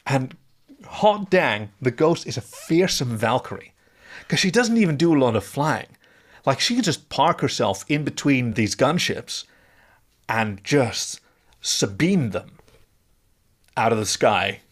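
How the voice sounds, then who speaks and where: A man's voice: medium pitch, very animated, unhurried, pauses often. A man co-hosting a podcast, retelling the story in a wry, storytelling way with dry asides. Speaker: podcast co-host. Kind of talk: casual recap narration and chat.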